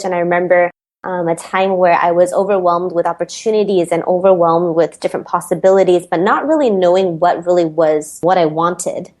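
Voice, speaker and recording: A woman with an American accent answers interview questions as a performance coach. She is reflective and measured and often pauses on an um, and her voice sits slightly low. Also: a little mellow. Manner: reflective, measured, frequent ums.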